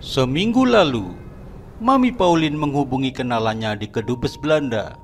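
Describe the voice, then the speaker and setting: A man's voice: mid-range voice, expressive, moderate pace, a little hiss or hum. Dramatic male horror-story narrator. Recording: narration over background music.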